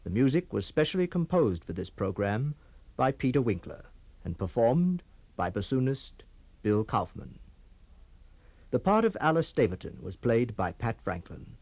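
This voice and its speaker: A man reading formal closing credits as host of a radio drama, measured and solemn. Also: medium pitch, sing-song, darkish tone, dry recording.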